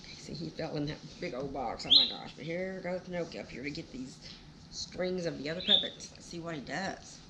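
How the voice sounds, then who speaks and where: Low-voiced lady reading a kids book, chatty asides, bit of gravel, noisy home recording. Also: a little fast. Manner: folksy, exclaiming. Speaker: children's storyteller. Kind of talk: animated storybook read-aloud with chatty asides.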